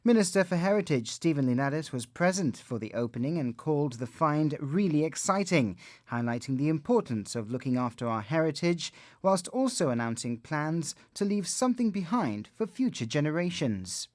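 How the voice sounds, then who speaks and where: A man's voice: fairly high pitch, sing-song, moderate pace, hardly any pauses, a little mellow, no room sound, clean studio sound. A man narrating a scripted television news report as the reporter.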